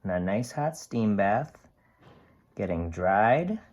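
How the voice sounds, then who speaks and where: A storyteller reading a children's picture book aloud, giving gentle explanatory asides and inviting young listeners along. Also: low voice, sing-song, slow pace, muffled recording.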